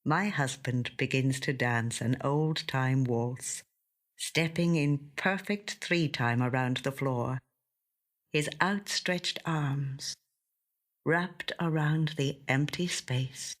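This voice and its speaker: A woman reading a first-person literary short story in a measured, gentle storytelling manner. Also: very deep voice. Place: audio studio.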